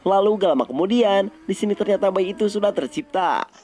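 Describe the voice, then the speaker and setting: High-pitched man narrating casually. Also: brisk, darkish tone. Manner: colloquial, conversational. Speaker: movie recap narrator. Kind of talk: casual scripted storytelling narration.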